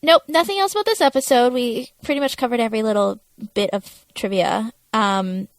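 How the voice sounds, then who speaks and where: Casual woman co-host with a creaky voice. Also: on the high side, fairly quick, dry recording. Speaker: podcast co-host. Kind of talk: casual podcast chat.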